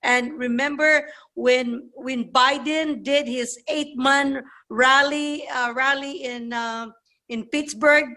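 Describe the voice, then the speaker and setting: A woman's voice: sits high, steady tone, takes it slowly, pushed and bright. A woman giving informal political remarks on a group video call, earnestly urging her listeners and repeating herself. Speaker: meeting participant.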